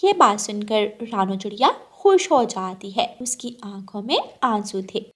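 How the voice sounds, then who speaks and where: A woman's voice: medium pitch, sing-song, unhurried. A woman narrating a scripted cartoon story.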